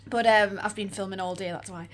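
A woman in her forties with an Australian accent, chatting and rambling in a casual vlog, her voice croaky and tired. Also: medium pitch, fairly even intonation, fairly quick. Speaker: vlogger. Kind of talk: casual shopping vlog chat. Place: room with neon lights, indoors.

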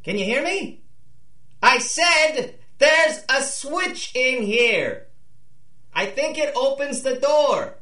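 High-voiced, nervous young male crook. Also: expressive, takes it slowly, bright, forceful tone, distant mic, exaggerated voice. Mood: panicked. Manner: hesitant, protesting, exclaiming. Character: nervous young male locksmith, reluctant novice burglar. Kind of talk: 1930s radio drama acting. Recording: roomy, slightly off-mic.

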